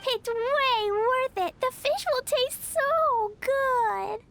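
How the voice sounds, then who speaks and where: A woman's voice: very high-pitched, extremely slow, fairly bright tone, full character voice. A woman voicing a cheerful, boisterous little girl in a video game, with eager, childlike, simple delivery. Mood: gleeful. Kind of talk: animated game character acting.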